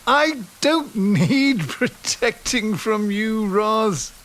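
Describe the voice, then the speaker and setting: An actor playing a besotted, sentimental man in an audio drama, halting and musing, with breathy exclamations. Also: high-pitched, lively intonation, a bit bright, heavily acted. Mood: playful. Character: besotted sentimental man, aged twenty and reminiscing at eighty.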